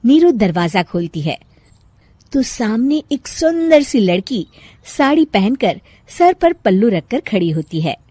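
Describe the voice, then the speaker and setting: A woman's voice: on the high side, very animated, measured pace, mellow, no echo. A woman storyteller reading scripted narration for a moral bedtime story.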